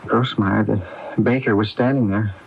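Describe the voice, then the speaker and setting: A man's voice: lowish voice, steady tone, a little slow, vocal fry, slightly rough, exaggerated voice. An actor playing a guilt-ridden, evasive businessman in a radio drama, stammering and hesitant. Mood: uneasy, evasive. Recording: muffled AM radio, faint noise.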